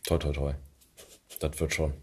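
A man's voice: extremely low pitch, monotone, a little slow, husky. A man hosting a podcast in casual chat, laid-back, with short interjections and loose remarks. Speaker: podcast host.

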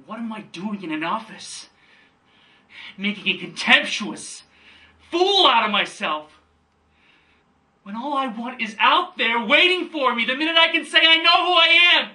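A man's voice: high voice, lively intonation, unhurried, pushed and bright, exaggerated voice. A man performing a theatrical monologue as an anguished, defiant grown son confronting his father, in an impassioned delivery. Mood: quiet, searching, then exasperated, rising. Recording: distant microphone.

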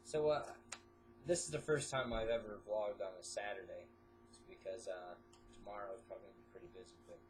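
A stuffy-nosed teenage boy chats loosely into a desk webcam, his voice sitting at an ordinary middle pitch. He wanders from topic to topic with plenty of "uh" and "um." A faint hiss runs under the small bedroom recording. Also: rough recording. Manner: rambling, filler-heavy, jumps between topics. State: stuffy nose. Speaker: vlogger. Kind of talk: casual webcam vlog.

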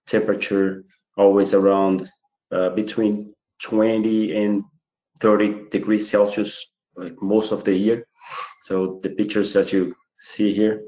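Brazilian male academic, lowish voice, hesitant lecture delivery, dull echoey webinar sound. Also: level tone, warm tone. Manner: halting, many fillers and restarts. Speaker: chemistry researcher giving a webinar. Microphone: computer mic on a video call. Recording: roomy, muffled webinar audio.

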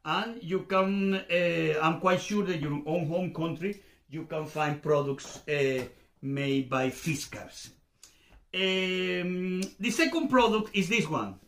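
A middle-aged Venezuelan man with a Spanish accent hosts his home channel in English, pausing often with fillers and restarting phrases. His voice sits somewhat high for a man. The room around him gives it a noticeable echo. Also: fairly bright tone. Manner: hesitant, lots of fillers and restarts. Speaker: YouTube channel host. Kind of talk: casual explainer to camera. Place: home room with shelves, indoors. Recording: roomy echo.

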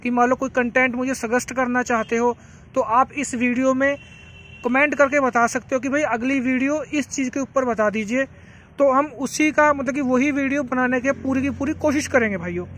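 A man's voice: very high-pitched, fairly even intonation, moderate pace, a bit bright, a little hiss or hum. A man giving a casual, chatty product demonstration outdoors, often repeating himself and addressing viewers as brothers. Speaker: farming vlogger. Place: outdoors beside a tractor by a green field.

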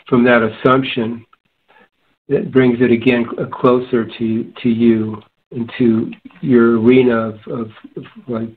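Male panelist, mid-pitched, searching and halting in informal spiritual talk; muffled, narrow phone-like sound. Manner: halting, searching, repeats and rephrases himself. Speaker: discussion panelist. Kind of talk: casual spiritual discussion.

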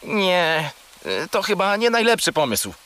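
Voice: mid-range voice, sing-song, unhurried, pushed and bright, smooth. An actor voicing a grumpy, sulky little male furry pet in a children's audio play, with a matter-of-fact manner.

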